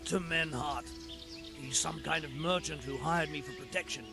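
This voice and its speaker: Creaky-voiced, frightened wounded captive. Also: male voice, a little high, moderate pace, music in the background. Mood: weak, wary. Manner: anxious, questioning. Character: wounded hired guard, frightened and bewildered captive. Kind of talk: video game voice acting.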